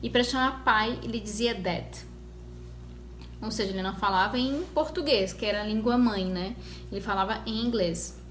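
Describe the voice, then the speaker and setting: A young woman telling her story as a mother in a casual vlog, talking in a conversational way with many pauses and restarts. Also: mid-range voice, fairly bright tone. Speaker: mother sharing her experience. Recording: echoey room with faint noise.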